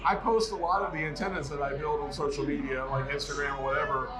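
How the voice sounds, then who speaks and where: A man from North Carolina speaks in a slightly high voice with a roomy echo and music behind him. He is an informal, enthusiastic guest who rambles a little. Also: steady tone, fast. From North Carolina, United States. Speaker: guest, radio hobbyist. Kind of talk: casual on-camera interview chat.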